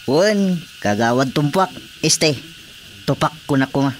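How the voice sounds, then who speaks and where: A man's voice: on the high side, animated, a little slow, slight background noise. A man acting a deferential, nosy village watchman in an Ilocano radio comedy drama, with an Ilocano Filipino accent. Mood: probing, surprised.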